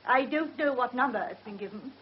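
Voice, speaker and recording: A woman playing a precise, spirited British witness in a radio drama, prim and crisply assertive. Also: fairly high pitch, animated, a little fast, a little hiss or hum, close to an everyday voice. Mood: precise, brisk. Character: precise, spirited, self-assured female witness. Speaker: actor. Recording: muffled vintage radio drama recording.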